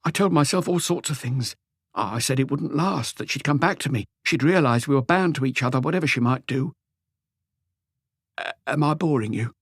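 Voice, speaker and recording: A man voicing an anguished, lonely, meek husband in an audiobook, pleading and rambling haltingly through a confession. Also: medium pitch, husky, no echo, clean studio sound, pitched above the performer's own voice. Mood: rambling, apologetic. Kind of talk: audiobook character dialogue.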